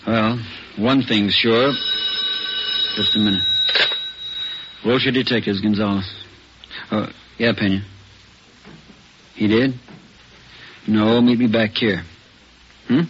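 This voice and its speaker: Curt, low-voiced police detective. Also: male voice, fairly even intonation, slow pace, pauses often, fairly bright tone. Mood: brisk, skeptical. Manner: curt, businesslike. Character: brisk, skeptical male police detective on duty. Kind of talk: 1950s radio drama acting. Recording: muffled, noisy old radio broadcast.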